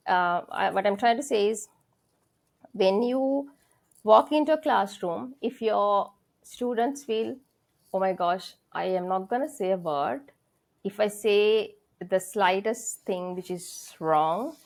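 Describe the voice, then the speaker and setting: A woman's voice: medium pitch, unhurried, gravelly. Earnest Sri Lankan woman lecturer. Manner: earnest, thinking aloud with frequent fillers and restarts. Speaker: senior English lecturer, guest. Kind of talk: conversational interview.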